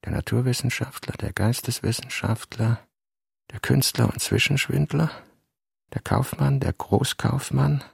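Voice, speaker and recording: Brooding novelist narrator for a literary radio play: gravelly, rough-edged, deliberate, reading out working notes. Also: male voice, mid-range voice, dry recording, clean studio sound, exaggerated voice.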